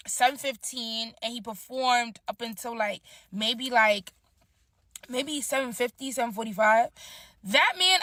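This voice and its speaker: Bright, high-voiced animated young-sounding woman. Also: no echo. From Nigerian heritage. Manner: animated, slangy, chatty. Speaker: concertgoer giving a recap. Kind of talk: casual storytelling vlog.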